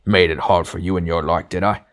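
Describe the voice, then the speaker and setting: A male narrator puts on a big, blustering working-class ghost for a storybook. He is sulky, self-righteous and forever arguing, pushed up higher and louder than plain narration, with indignant demands punctuating his speech. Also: brisk, close to an everyday voice. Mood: indignant, angry. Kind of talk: literary audiobook character dialogue.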